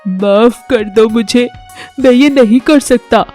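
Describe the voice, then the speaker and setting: A woman's voice: fairly high pitch, slow pace, darkish tone, faint music behind. A woman voicing a proud, bossy male elephant who boasts and demands respect in a children's animal fable. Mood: defeated. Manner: pompous, demanding.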